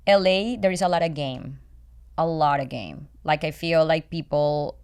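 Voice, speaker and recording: A woman with a low, clean and very smooth voice and a Colombian accent. She is a native Spanish speaker. She talks in a candid, casual chat style, repeating phrases to press her point. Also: moderate pace, darkish tone.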